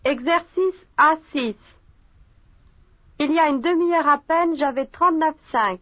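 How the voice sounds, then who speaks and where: High-voiced woman giving brisk drill cues. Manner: brisk, crisp short cues and exercise numbers. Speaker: language course prompter. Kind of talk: scripted language drill cues. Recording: dull lo-fi recording.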